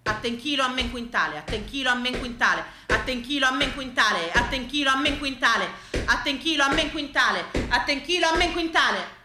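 A woman's voice: sits high, expressive, fast talker, music in the background. A woman playing a greedy, domineering male landowner in a stage monologue. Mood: insistent, gloating. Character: greedy, domineering male landowner haggling over harvest shares. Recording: roomy, echoing space.

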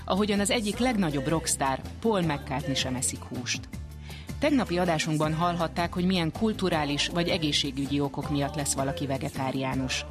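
A woman presenting a radio programme in scripted narration. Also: low-pitched. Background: music behind the voice.

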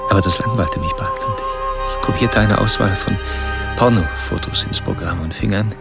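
Creepy obsessed killer, deep croaky voice, slow n deliberate monologue, music behind, radio play. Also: male voice, wildly swooping pitch, exaggerated voice. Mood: detached, smug. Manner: slow, deliberate, self-absorbed confiding. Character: cold, obsessive male serial killer narrating his fantasies. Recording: voice over background music.

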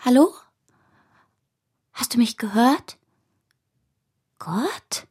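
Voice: mid-range voice, expressive, extremely slow, a little mellow, breathy edge, heavily acted. A performer playing a bold, defiant eight-year-old girl in a radio drama, speaking in an emphatic, childlike way. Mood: uncertain.

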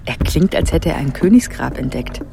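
An actress playing an observant, quietly uneasy young woman, speaking in a hushed, reflective inner monologue for a radio play. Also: low voice, lively intonation, normal speed, vocal fry, music in the background, full character voice. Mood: wry, reflective. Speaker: protagonist and inner narrator. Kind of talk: intimate radio drama monologue.

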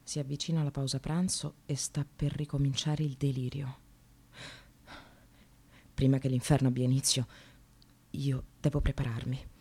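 An actress playing a resentful, frazzled receptionist in a theatrical radio monologue, volatile between clipped politeness and bitterness. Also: female voice, low-pitched, warm tone. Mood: whispered, brooding. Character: frazzled, resentful female receptionist in precarious work, near breakdown.

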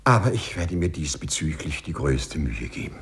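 An actor playing a timid, fussy middle-aged clerk in a 1950s radio play, polite and hesitant, with a stammer. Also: male voice, rumbling low, very animated, normal speed, fairly bright tone, breathy edge, exaggerated voice. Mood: bashful, earnest. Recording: 1950s studio radio recording, music behind.

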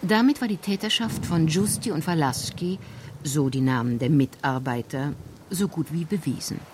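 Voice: medium pitch, moderate pace, background noise. A narrator reading scripted narration for a radio crime drama.

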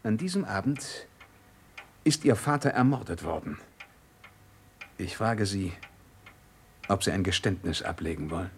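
A man's voice: low voice, animated, measured pace, long pauses, a little hiss or hum. A man playing a shrewd, commanding police inspector in a German radio crime drama, questioning with dry, sardonic authority. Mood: stern, accusing. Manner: probing, authoritative, dryly mocking.

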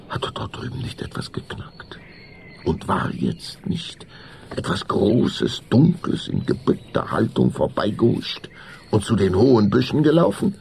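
A man's voice: low voice, creaky, darkish tone, slight background noise. A man narrating a children's spooky radio play in a theatrical, suspenseful way. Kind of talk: dramatic scripted narration of children's horror story.

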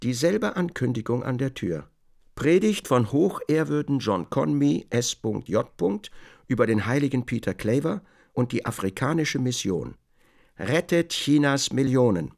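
An actor playing a measured literary narrator, delivering descriptive scripted narration in a radio drama. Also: male voice, medium pitch, big pitch swings, gravelly, a little mellow. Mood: measured, observant. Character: measured male literary narrator observing closely.

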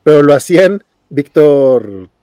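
A man's voice: fairly high pitch, expressive, takes it slowly, warm tone, no room sound. A Mexican man with a Mexican Spanish accent, chatting easily on a podcast panel and chuckling between points. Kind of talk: casual panel chat.

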